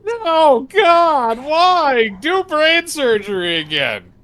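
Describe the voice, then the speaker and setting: A woman's voice: on the low side, very animated, takes it slowly, a bit bright, slight background noise, full character voice. A woman voicing a desperate, sarcastic male captive in a horror game, with a pleading, melodramatic manner. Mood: indignant ranting.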